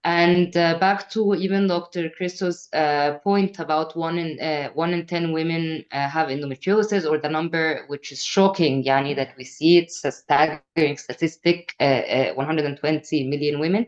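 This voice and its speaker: Low-voiced woman on a muffled call. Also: steady tone. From Egypt. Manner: earnest, with frequent filler pauses. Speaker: panelist, researcher. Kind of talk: online panel discussion.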